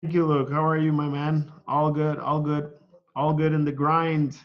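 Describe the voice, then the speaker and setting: A man from Venezuela, a guest on a casual call interview, talking in a halting way and repeating words as he thinks. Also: on the high side, flat delivery, highs cut off. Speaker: guest, online seller.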